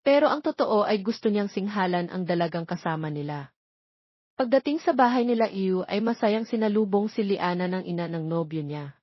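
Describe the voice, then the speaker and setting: A woman's voice: mid-range voice, animated, moderate pace, no echo. A woman narrating a scripted dramatic story as its storyteller. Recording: slightly dull, muffled audio.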